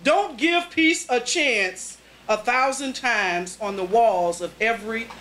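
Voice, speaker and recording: Lady doing spoken word at a live reading, slow n dramatic, kinda high voice, bit of background noise. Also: fairly bright tone. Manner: deliberate, dramatic phrasing with pauses between short phrases. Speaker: poet, featured reader at a poetry reading. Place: indoors at a cafe poetry reading. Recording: roomy live cafe recording, faint background noise.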